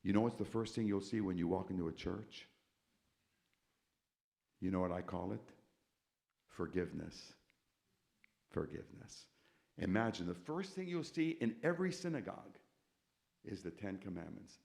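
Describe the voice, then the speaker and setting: Gravelly older man preaching with emphasis. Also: sits low, expressive, normal speed, long pauses. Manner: emphatic preacher, repeats key words, swings from quiet to loud. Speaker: concert pianist giving testimony. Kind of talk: testimony sermon to a congregation. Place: church sanctuary.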